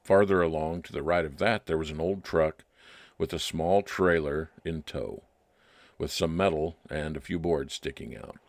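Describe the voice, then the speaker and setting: A man playing a wry, nostalgic private detective, narrating his case deliberately in old time radio style. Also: rumbling low, fairly even intonation, no echo. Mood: reflective. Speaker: narrator and lead actor.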